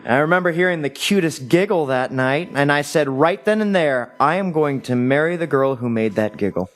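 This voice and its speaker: A man's voice: fairly high pitch, animated, moderate pace, natural voice. A man acting a loving, wistful and frightened husband in an audio play, speaking in a sentimental, hesitant way. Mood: tender, earnest. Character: recently deceased loving husband, tender, wistful, scared.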